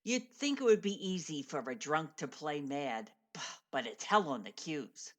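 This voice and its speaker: An actress playing a wry, bossy, no-nonsense stage manager in a radio play. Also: female voice, sits low, expressive, measured pace. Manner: wisecracking, bossy.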